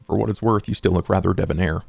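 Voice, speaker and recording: A man acts out a courteous schemer with a low, heavily creaking voice. He talks business in formal, measured phrases, suave and wordy, in a roleplay podcast. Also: a little mellow, no echo, full character voice. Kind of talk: in-character tabletop roleplay.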